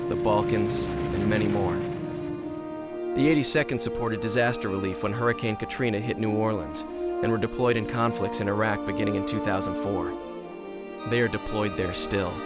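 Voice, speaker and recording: An actor playing a reflective American airborne veteran, giving solemn, measured narration in a war video game. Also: male voice, fairly low pitch, natural voice. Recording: prominent music behind the voice.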